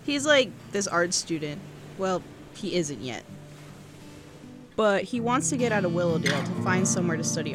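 A woman's voice: fairly low pitch, a little hiss or hum, lightly acted. A woman playing a wistful, awkward seventeen-year-old girl who muses hesitantly into an audio diary in a fiction podcast. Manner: reflective, hesitant, self-correcting teenage musing. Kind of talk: intimate first-person cassette diary narration. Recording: music under the voice. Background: guitar music.

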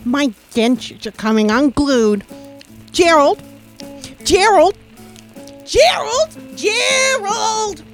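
A woman's voice: very high-pitched, lively intonation, extremely slow, long pauses, faint music behind, full character voice. A woman playing a crotchety elderly person with loose dentures in a comedy skit, hollering. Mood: exasperated.

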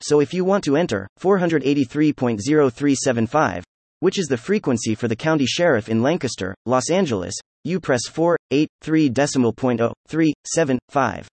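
A computer-generated male voice reads a how-to guide aloud in a steady instructional way. His pitch moves around a lot, and the recording is dry, with no room sound. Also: moderate pace, no echo. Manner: even instructional delivery with lively pitch. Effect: synthetic text-to-speech voice. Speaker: narrator. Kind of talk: scripted article reading.

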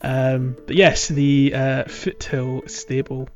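A man's voice: medium pitch, flat delivery, takes it slowly, darkish tone, muffled recording, faint music behind. A man hosting a casual podcast chat about a video game, talking in a chatty, informal way. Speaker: podcast host.

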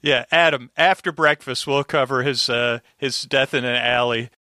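A man's voice: mid-range voice, steady tone, moderate pace, sharp tone, no echo, clean studio sound. A man as a podcast guest, chatting casually with a wry manner and slipping into mock dialogue. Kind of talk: casual chat.

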